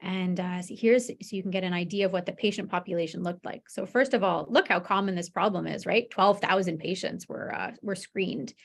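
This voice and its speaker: A woman's voice: on the low side, fairly quick, creaky, hardly any pauses. A woman presenting medical research in a webinar, explaining conversationally with informal check-ins. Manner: informal explaining with frequent "you know" and "right?" check-ins.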